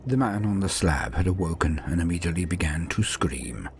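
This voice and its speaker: Very deep, creaky-voiced theatrical male storyteller. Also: expressive, soft background music. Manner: theatrical, ornate and deliberate. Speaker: host and narrator. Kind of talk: dramatic horror storytelling narration.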